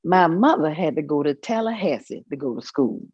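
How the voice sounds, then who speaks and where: Folksy Southern grandmother played by a woman, low voice, reflective storyteller in a staged reading, dry and slightly dull recording. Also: expressive, a little fast, husky, no room sound. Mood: plainspoken reminiscing. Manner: reminiscing, folksy, punctuated with thoughtful hums. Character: elderly Southern grandmother recalling her midwife mother. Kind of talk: staged reading of oral history monologue.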